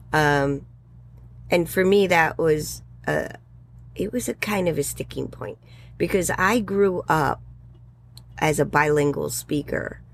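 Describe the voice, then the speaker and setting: A woman in her sixties, a guest in an interview, telling stories in a rambling, animated way with fillers. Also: low-pitched, vocal fry, slight background noise. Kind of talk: casual interview chat.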